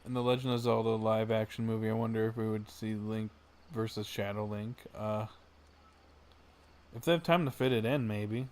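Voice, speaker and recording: A man's voice: on the low side, fairly even intonation, moderate pace, darkish tone. A man giving casual livestream game commentary, musing in a laid-back, rambling way. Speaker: livestream gamer. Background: game music.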